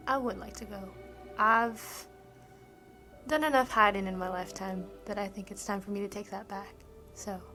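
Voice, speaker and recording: Woman as female dragon-rider, tabletop game, medium pitch, earnest, hesitant, caring, resolute. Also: steady tone, moderate pace, pushed and bright, soft background music, lightly acted. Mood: resolute, reassuring.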